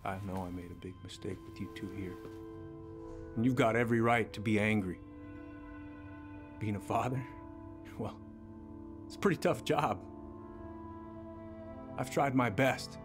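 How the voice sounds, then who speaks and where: A man acting an earnest, remorseful police chief in a narrative video game. His voice is on the low side and halting, with sincere delivery over background music. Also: lightly acted. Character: earnest, remorseful small-town police chief, a father figure.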